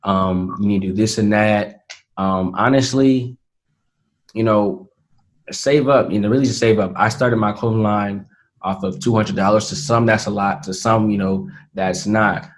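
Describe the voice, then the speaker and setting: An eighteen-year-old man speaks as an interview guest. His voice sits a little low for his age, and he answers in an earnest, measured way, often pausing on "um" and "you know.". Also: steady tone. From Atlanta, Georgia, USA. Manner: earnest, measured, frequent fillers. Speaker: interview guest, young entrepreneur. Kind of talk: casual interview talk.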